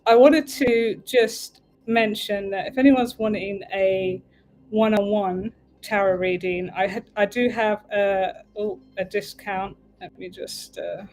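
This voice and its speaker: A woman's voice: mid-range voice, steady tone, slow pace, a little mellow, faint music behind. A woman from the UK with an English accent, hosting a casual livestream as a tarot reader, chatting in a meandering way and breaking off to fix her stream. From United Kingdom.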